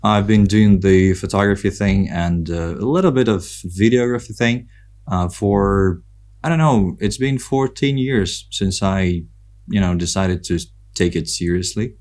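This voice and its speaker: A man from Ukraine with a Ukrainian accent, talking casually and reflectively as a podcast guest, pausing often as he thinks. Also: deep voice, darkish tone. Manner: hesitant, reflective, with frequent pauses. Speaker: podcast guest, photographer and content creator. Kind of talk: casual conversational interview.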